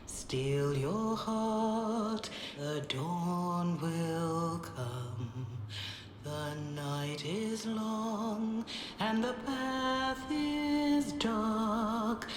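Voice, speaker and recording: A woman playing a solemn, comforting cleric in a video game, leading a slow hymn. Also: medium pitch. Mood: solemn, hopeful. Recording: echoing space with music behind.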